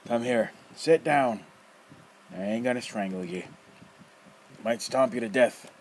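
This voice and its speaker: A man voicing a rough, taunting armless coffin maker in an audiobook reading. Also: medium pitch, unhurried, a little mellow, slight background noise, pitched above the performer's own voice, close to an everyday voice. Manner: rough, taunting, bursts of laughter. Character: armless gruff male coffin maker, mocking and menacing.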